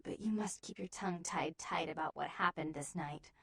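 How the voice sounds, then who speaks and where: A woman playing a vampire with two warring personalities, stern and wild, in dramatic video game acting that swings between hushed and fierce. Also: deep voice, normal speed, vocal fry. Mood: hushed, mournful.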